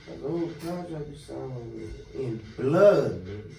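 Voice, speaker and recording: A man's voice: mid-range voice, expressive, measured pace, creaky, mellow. A man co-hosting a podcast in casual chat, blunt and profane, full of slang. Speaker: podcast co-host. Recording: echoey room, far from the mic, faint music.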